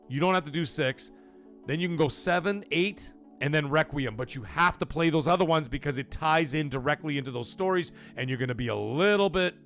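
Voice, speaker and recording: A man's voice: fairly high pitch, a bit bright. A man hosting a gaming livestream, rambling casually and answering viewers from the chat by name. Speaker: livestream gaming show host.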